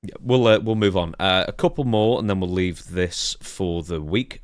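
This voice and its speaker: A man's voice: sits low, moderate pace, dry recording. A man hosting a podcast, casually putting questions and moving the conversation along. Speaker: podcast host. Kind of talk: casual interviewing.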